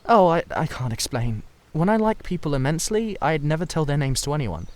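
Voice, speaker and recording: A man's voice: on the high side, sing-song, pitched above the performer's own voice. Man acting an earnest, hesitant young painter. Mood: confiding, sheepish. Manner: earnest, halting, confiding. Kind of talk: dramatised audiobook reading.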